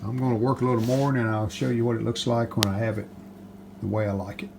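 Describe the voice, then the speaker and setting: A man casually narrating a hands-on how-to as he works, plain-spoken, unhurried and modest. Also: medium pitch, vocal fry. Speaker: restoration hobbyist and video host. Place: home basement workshop. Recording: steady background noise, handling rustle.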